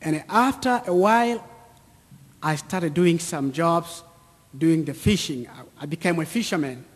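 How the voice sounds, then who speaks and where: A man in his thirties with an East African accent, giving a personal testimony to an audience in deliberate, short repeated phrases. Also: fairly high pitch, husky. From Central or East Africa, near Lake Tanganyika. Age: 30s. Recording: echoey hall with long reverb.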